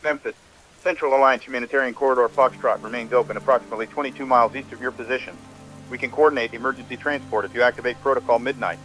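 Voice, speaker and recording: A man's voice: medium pitch, fairly bright tone. A man playing a dutiful emergency medical coordinator in an audio drama, speaking formally in clipped procedural phrases through a radio filter. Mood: urgent, controlled. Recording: muffled, band-limited radio transmission.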